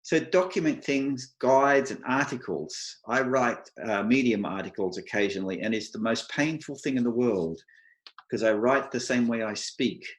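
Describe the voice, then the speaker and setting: A middle-aged Australian man giving an advice talk to an online meetup, speaking slowly and clearly with dry humour. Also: mid-range voice, roomy sound. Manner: slow, deliberate, clear delivery for non-native listeners.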